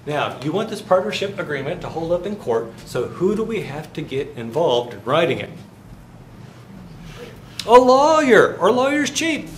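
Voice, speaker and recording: A man's voice: on the high side, sing-song, moderate pace. Man in his fifties lecturing a class. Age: 50s. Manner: conversational, asks the class questions and answers them. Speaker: finance lecturer. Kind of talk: live university lecture. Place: university classroom with whiteboards. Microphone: far camera, no visible mic. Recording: roomy classroom, faint background noise.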